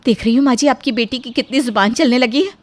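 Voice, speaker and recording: A woman's voice: a little high, fast talker, lightly acted. A woman voicing a short-tempered, threatening young daughter-in-law in a cartoon moral story, scolding with sarcasm and grievance. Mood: accusing.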